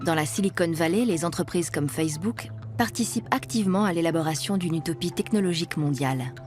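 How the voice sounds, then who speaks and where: Low-voiced woman narrating a documentary. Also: quick pace, a little mellow. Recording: music bed under voice.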